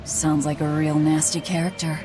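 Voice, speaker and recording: A grim young outlaw woman voiced by an actress in a video game, low-pitched and cold. She speaks in curt, deadpan phrases with faint music behind. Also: normal speed, natural voice. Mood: dry, challenging.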